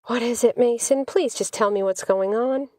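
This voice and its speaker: Woman voicing a higher-pitched, exasperated young sweetheart. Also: normal speed, dark tone, no room sound, studio quality, lightly acted. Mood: questioning.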